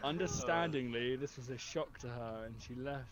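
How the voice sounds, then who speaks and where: A man's voice: mid-range voice, normal speed, over a noisy crowd. A man reading a humorous written review aloud as a storyteller. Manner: often breaking into laughter. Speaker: host. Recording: very noisy mic with clicks.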